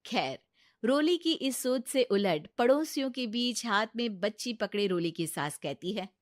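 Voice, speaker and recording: A woman narrating a cartoon story in an even storytelling manner. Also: a little high, a little slow, dry recording, studio quality.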